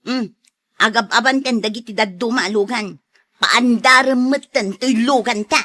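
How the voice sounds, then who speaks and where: A woman acting a sharp-tongued, easily annoyed moneylender and wife in an Ilocano audio drama, exclaiming and complaining. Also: on the high side, a little slow. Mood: grumbling.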